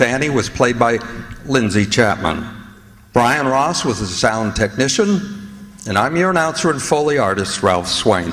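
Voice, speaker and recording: A man's voice: mid-range voice, a bit bright. A man announcing in old-time radio style, reading scripted credits and sponsor copy. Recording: hall with long reverb.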